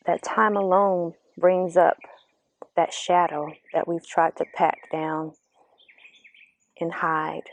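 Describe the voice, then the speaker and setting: A woman giving a reflective, earnest motivational talk outdoors, speaking slowly and asking the listener questions, her voice slightly raspy. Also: fairly low pitch, fairly even intonation. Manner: slow, earnest, coaching, asks the listener questions. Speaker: vlogger giving spiritual encouragement. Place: outdoors among birds. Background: birdsong.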